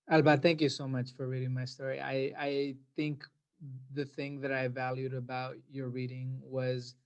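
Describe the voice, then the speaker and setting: Man, slow pace, even intonation, halting and thoughtful, heartfelt personal reflection. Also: medium pitch. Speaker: monologue writer and panel participant.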